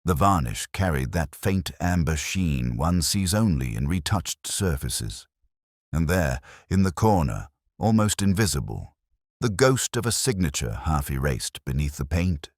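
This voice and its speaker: A man narrating a scripted detective mystery in the first person, in a measured, brooding, literary manner. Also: rumbling low, sing-song, dry recording, studio quality. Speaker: narrator playing a melancholy detective.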